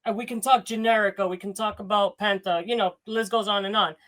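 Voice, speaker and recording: A man's voice: sits high, steady tone, brisk, fairly bright tone. A man with a nasal voice talking as a guest on a casual wrestling panel.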